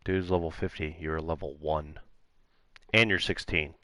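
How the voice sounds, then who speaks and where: A man's voice: rumbling low, steady tone, a little slow, sharp tone, no room sound, studio quality. A man giving casual, sarcastic commentary as he plays a video game, tossing out offhand asides. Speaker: let's play gamer. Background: video game music.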